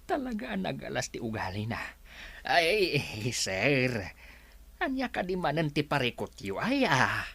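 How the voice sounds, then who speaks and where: A woman's voice: sits low, huge pitch swings, measured pace, gravelly, fairly bright tone, breathy edge, no echo, heavily acted. A woman playing a flustered, gossipy servant in an Ilocano comedy radio drama, exclamatory and whining. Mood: flustered, complaining.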